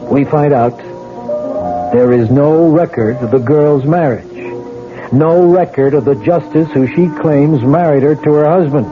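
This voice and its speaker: A man's voice: a little high, measured pace, lightly acted. Man doing a skeptical cop lieutenant, firm, lo-fi radio with faint music. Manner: probing, skeptical, commanding. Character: skeptical male police lieutenant, probing and firm. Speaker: police lieutenant. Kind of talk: 1970s radio drama acting. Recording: tinny lo-fi old radio broadcast with music.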